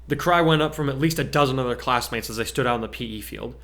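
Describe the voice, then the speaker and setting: A male narrator reads a story aloud in a medium pitch. His delivery is scripted narration in the style of a dramatic reading. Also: fast talker, creaky, fairly bright tone.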